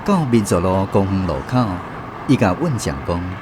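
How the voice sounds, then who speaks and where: A man with a Taiwanese accent reading literary narration for a radio drama, in an expressive storytelling manner. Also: deep voice, normal speed, background noise.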